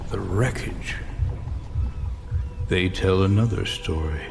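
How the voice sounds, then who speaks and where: An actor playing a grave storyteller of a sea mystery in audio fiction, measured and portentous. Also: male voice, low voice, creaky, noisy background, exaggerated voice. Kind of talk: dramatic audio fiction narration. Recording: loud orchestral score behind.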